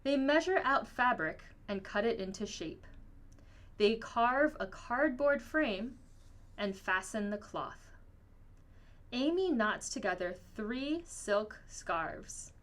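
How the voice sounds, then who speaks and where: A woman reading a children's picture book aloud in an expressive, storytelling manner. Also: a little high, slow. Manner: expressive, acts out dialogue. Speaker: storybook reader.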